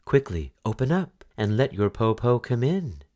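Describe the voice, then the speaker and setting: Guy doing a sneaky old storybook wolf faking granny, low slow croon, lots of swoops. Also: very animated, darkish tone, lightly acted. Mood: sly coaxing. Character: cunning old male wolf posing as grandmother.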